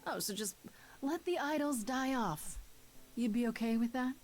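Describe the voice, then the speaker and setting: A woman's voice: on the high side, animated, normal speed, a little mellow, soft background music, lightly acted. An actress voicing a spirited, earnest young woman in a video game, with a direct conversational manner. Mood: questioning. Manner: direct, conversational, slightly sardonic.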